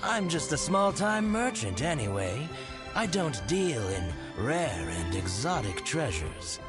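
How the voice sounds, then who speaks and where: A man's voice: mid-range voice, sing-song, slow pace, over loud music. A man voicing a sly, genial small-time merchant in an anime game, courteous, teasing and theatrical. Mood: genial, smooth.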